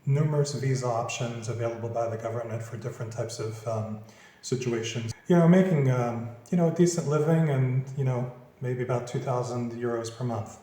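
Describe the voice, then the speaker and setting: Mellow-voiced man in an echoey room. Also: mid-range voice, fairly quick. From Philadelphia, USA. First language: Greek. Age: 50s. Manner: easygoing, practical advice-giving. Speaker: interviewee. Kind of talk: casual interview answers.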